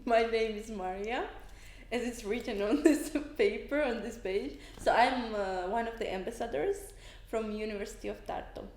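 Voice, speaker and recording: A woman who is a student ambassador, answering interview questions in a lively way and listing points. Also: on the high side, normal speed, roomy sound. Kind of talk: casual on-camera interview.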